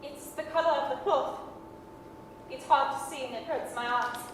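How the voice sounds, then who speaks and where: An actress playing a gentle, grieving mother, tender and wistful, in a staged 1930s radio drama. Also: high voice, normal speed, lightly acted. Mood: brave sorrow. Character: gentle, grieving working-class mother, bravely composed. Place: performing arts center stage. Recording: echoey hall from far off, noisy.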